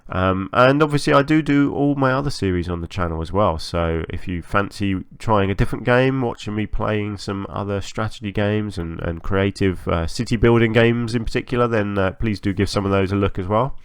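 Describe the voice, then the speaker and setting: Low, slightly croaky man, casual game commentary. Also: normal speed, hardly any pauses, no echo, studio quality. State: slightly hoarse from long recording. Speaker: gaming channel host.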